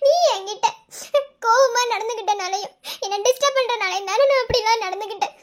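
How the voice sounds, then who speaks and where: A woman acting as a distressed young student with an extremely high, childlike pitch. She pleads tearfully and earnestly, in the heightened style of melodramatic audio drama. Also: fairly quick. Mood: hurt pleading. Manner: tearful, imploring.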